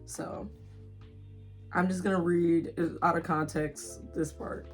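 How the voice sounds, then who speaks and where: A woman in her twenties chatting casually and excitedly, with giggles, as a book vlogger. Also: on the low side, takes it slowly, soft background music. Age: 20s. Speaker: indie author and book vlogger. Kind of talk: casual chatty video blog, book promotion.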